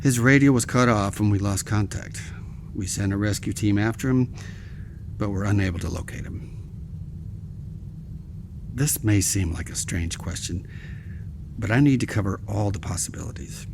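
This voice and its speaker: A man acting a grave, formal senior admiral in an audio drama, speaking in a measured, deliberate way. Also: lowish voice, gravelly, background noise. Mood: probing, official.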